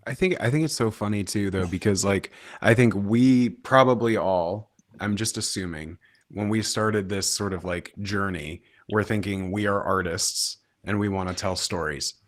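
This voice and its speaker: A man with a deep voice talks casually as a panelist. He rambles informally, working out his thoughts as he goes, and the sound is very dry. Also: moderate pace, no echo. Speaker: panelist, independent filmmaker and producer.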